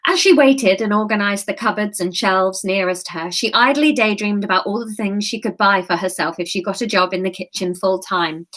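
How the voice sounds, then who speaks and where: Woman, comedian, slightly tipsy, giggly, chatty, reading aloud on a stream, fairly high-pitched. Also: a bit bright. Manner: giggly, chatty, reacts to comments. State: a bit tipsy. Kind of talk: live-streamed storybook reading with chat asides.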